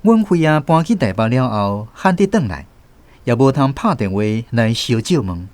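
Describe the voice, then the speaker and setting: A man's voice: medium pitch, very animated, moderate pace, a little mellow, no room sound, natural voice. An actor with a Taiwanese accent playing a reflective man narrating his family's past in a scripted radio story. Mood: wry. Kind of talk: literary scripted first-person story narration. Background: music under the voice.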